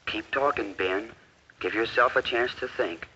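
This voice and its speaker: An actor playing the anxious, self-doubting inner voice of a male high school student in a 1950s educational film, halting and incredulous. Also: sharp tone. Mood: panicky. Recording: dull, noisy 1950s film soundtrack.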